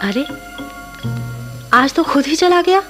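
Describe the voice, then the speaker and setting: High-voiced, earnest young woman. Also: slow pace. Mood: indignant, flustered. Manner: earnest, expressive, dramatic. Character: devout young woman set on convent life, gentle but spirited. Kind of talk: Hindi radio play acting. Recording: old radio play recording, faint music.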